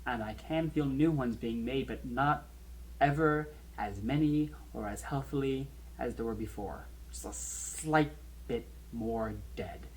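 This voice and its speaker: An anxious, bitter man played by an actor confesses his dread of dying in a horror audio drama. His mid-pitched voice is halting and intense, breaking phrases apart. A faint noise sits behind the recording. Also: lightly acted, rough recording. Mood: haunted, resigned.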